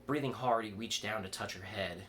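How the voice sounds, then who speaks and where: Male horror reader, lower-pitched, some stumbles over words, faint noise behind the voice. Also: moderate pace, pushed and bright. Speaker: author reading own fiction aloud. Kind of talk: horror story reading. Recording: clear background noise.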